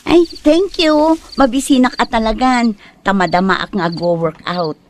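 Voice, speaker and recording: A woman's voice: on the high side, measured pace, slight background noise, lightly acted. A woman with an Ilocano accent playing a sultry, friendly delivery customer in a comedy drama. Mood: flirty, pleased.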